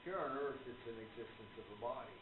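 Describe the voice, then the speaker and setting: Muffled, echoey actor as earnest, courtly gentleman author. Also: mid-range voice, fast talker, close to an everyday voice. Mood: preaching, rapt. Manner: formal, gentlemanly, expounding. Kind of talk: radio play acting. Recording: muffled phone-like sound, loud noise, echoey room.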